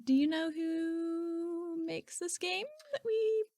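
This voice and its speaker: A woman's voice: extremely high pitch, takes it slowly, mellow, no room sound, clean studio sound. A woman chatting casually as a podcast co-host.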